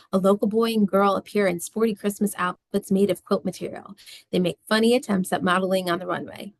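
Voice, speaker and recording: A woman's voice: medium pitch, gravelly. A woman reading scripted stage directions as narrator of a screenplay table read.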